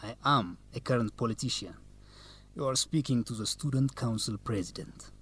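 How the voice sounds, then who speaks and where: Confident young student, mid-pitched voice. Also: male voice, normal speed, fairly bright tone, husky. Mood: proud, playful. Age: young adult. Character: confident, teasing young male Ethiopian law student. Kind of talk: educational radio drama acting.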